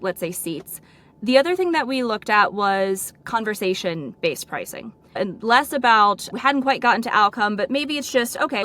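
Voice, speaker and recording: A woman with a mid-pitched voice answers interview questions as a product director. She explains things informally and conversationally, often dropping "like" into her sentences. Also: fairly bright tone. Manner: informal, peppers speech with "like" and "right?".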